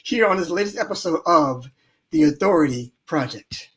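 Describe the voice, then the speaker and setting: A man's voice: on the high side, lively intonation, moderate pace, a bit bright. A man hosting a live interview show, playful and joking, laughing often between questions. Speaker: podcast host.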